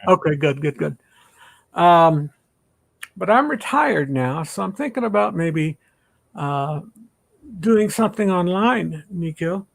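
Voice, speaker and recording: High, gravelly older man, chatty. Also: expressive, a little slow, long pauses, dry recording. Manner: rambling, hesitant, many fillers. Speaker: retired pastor, host. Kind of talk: casual online chat.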